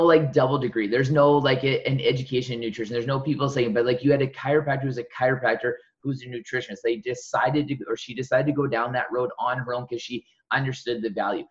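A man hosting a podcast rambles through long, meandering questions, filling them with "like" and "you know." His voice comes through slightly dull. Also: mid-range voice. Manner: rambling, many filler "like"s. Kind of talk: podcast interview.

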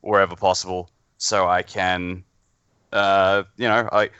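Low, clear Australian man, bright voice. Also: flat delivery, unhurried, dry recording, lo-fi sound. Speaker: podcast guest. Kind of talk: casual chat.

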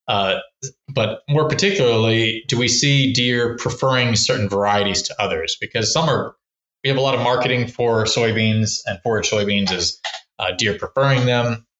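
A man's voice: mid-range voice, normal speed, a bit bright, echoey room, muffled recording. A man from Texas, a wildlife researcher who is a podcast guest, explaining his work in a casual, rambling way with many restarts and fillers. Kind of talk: casual explanatory interview talk.

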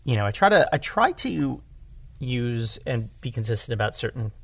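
A man talking casually as a podcast guest about his craft as a director, halting and animated as he thinks aloud. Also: mid-range voice, gravelly, no echo. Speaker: audio drama director, interview guest.

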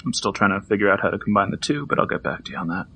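A man's voice: lowish voice, animated, racing, vocal fry, bright, forceful tone, a little hiss or hum, heavily acted. A man playing a joking, explosives-loving teammate in an audio drama, with a casual, wisecracking manner.

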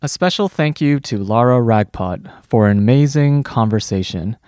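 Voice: mid-range voice, animated, moderate pace, darkish tone. A podcast host interviewing a guest, giving short encouraging acknowledgements and then closing the episode. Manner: brief encouraging backchannels.

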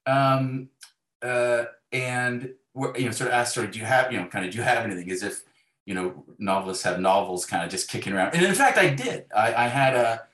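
A man chatting casually as an interview guest, circling through his phrases in a halting way. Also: medium pitch, fairly bright tone. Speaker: guest, novelist. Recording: roomy, echoey sound.

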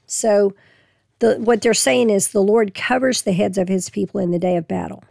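Woman Bible teacher, hoarse and folksy, reads verses slowly, gravelly voice. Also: medium pitch, fairly even intonation, darkish tone, no echo, studio quality. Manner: folksy, reads scripture slowly then explains plainly. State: losing her voice. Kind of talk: casual Bible teaching with scripture reading.

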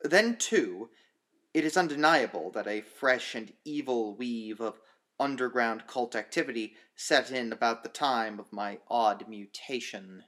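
A man with a medium-pitched voice narrates classic horror fiction. His reading is formal and literary, delivered in a measured way as scripted audiobook narration. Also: a bit bright.

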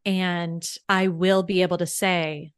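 A woman's voice: lowish voice, flat delivery, takes it slowly, clean tone, no room sound, studio quality. A woman financial coach, a podcast guest, who explains things eagerly and step by step with worked examples. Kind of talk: casual podcast interview.

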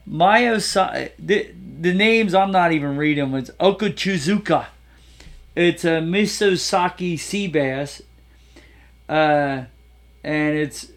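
A man's voice: on the high side, vocal fry. A man hosting a casual chat, joking and stumbling over food names as he reads a menu aloud. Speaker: podcast host.

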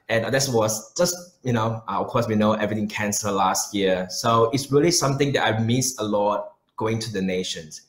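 A man with a Malaysian accent, speaking earnestly as a pastor giving personal answers in an interview. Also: lowish voice. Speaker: pastor and missionary guest. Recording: roomy, echoing sound.

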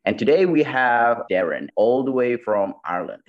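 A man's voice: medium pitch, very animated, normal speed, highs cut off. A man hosting a podcast interview over a video call, friendly and hesitant, with many fillers. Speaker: podcast host. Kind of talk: conversational interview. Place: Bali.

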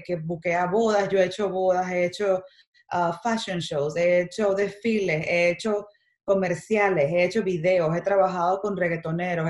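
A middle-aged Venezuelan woman talks in a fairly low voice with a Venezuelan Spanish accent. She chats with animated energy and slips English words into her speech. The room around her rings with echo. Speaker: guest, makeup artist. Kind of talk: casual interview chat.

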